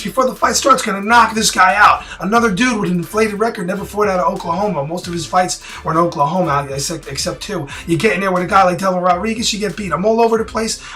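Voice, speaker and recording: Hoarse raspy-voiced man. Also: sits high, pushed and bright. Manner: rambling, blunt and opinionated. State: hoarse from yelling. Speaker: boxing prediction vlogger. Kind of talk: casual direct-to-camera talk.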